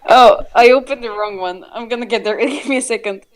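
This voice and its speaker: A woman's voice: a little high, fairly quick. A woman reading stories aloud in an amateur, casual way, with amused side comments. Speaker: fanfiction reader and commentator.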